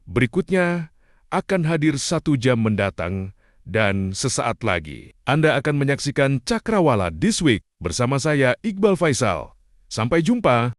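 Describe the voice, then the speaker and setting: A man's voice: medium pitch, no room sound, studio quality. A man reading scripted television news as an anchor.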